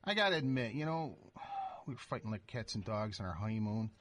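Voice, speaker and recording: A man playing a calm, reassuring young husband. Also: lowish voice, brisk. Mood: coaxing. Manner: reassuring, coaxing. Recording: music underneath.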